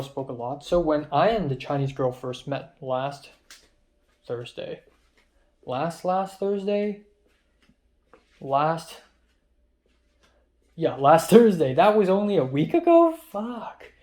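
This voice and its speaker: Young guy vlogging, kinda high voice, rambly and excitable, swears a lot. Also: measured pace, pauses often. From Hong Kong. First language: Cantonese. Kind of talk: casual diary vlog.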